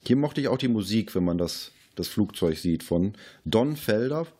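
A man's voice: lowish voice, expressive, moderate pace, mellow, slightly rough. A man chats casually about films as a podcast host. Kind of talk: casual film discussion.

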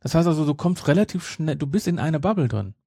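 A man's voice: fairly high pitch, expressive, fast talker, gravelly, warm tone, breathy edge, no room sound, studio quality. A middle-aged man co-hosting a podcast in casual, amused banter, often rephrasing as he goes. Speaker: podcast co-host. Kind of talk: casual banter about an audio drama series.

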